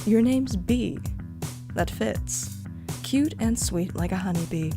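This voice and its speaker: A woman's voice: mid-range voice, lively intonation, slow pace, over music. A woman playing a cocky, flirtatious, cool bully of a coworker in an audio drama, smug, teasing and drawling.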